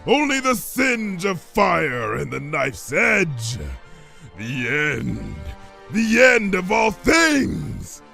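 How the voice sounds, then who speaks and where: A man's voice: high-pitched, big pitch swings, bright, forceful tone, soft background music, pitched above the performer's own voice, heavily acted. A man voicing a monstrous, ominous alligator in a fantasy story, with a scraping voice and grandiose, archaic delivery. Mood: ominous, doom-laden. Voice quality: scraping, gravelly. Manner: slow, grandiose archaic proclamations. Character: monstrous male alligator proclaiming doom, ominous, archaic speech.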